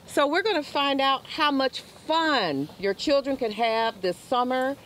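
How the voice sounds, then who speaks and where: A woman's voice: sits high, animated, unhurried, fairly bright tone, low-quality recording. A middle-aged woman hosting a local TV interview outdoors into a handheld microphone. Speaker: local TV show host. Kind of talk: on-location interview and presenting. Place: outdoors on a waterfront dock. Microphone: handheld reporter microphone. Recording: outdoor location sound with faint noise.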